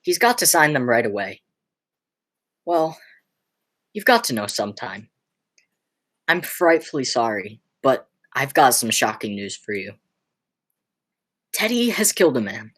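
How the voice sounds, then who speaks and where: Young woman playing a frantic, stammering nephew, swooping pitch. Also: big pitch swings, a little slow, long pauses, exaggerated voice. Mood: urgent, grave. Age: teenager. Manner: stammering, exclamatory. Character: agitated nephew, a young man, increasingly horrified. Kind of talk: high school radio play acting.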